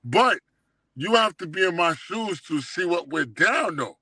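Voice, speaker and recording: A man's voice: high voice, animated, a little slow, crowd noise. A middle-aged man reminiscing casually in street slang, emphatic and often checking that he is understood. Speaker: guest telling life stories.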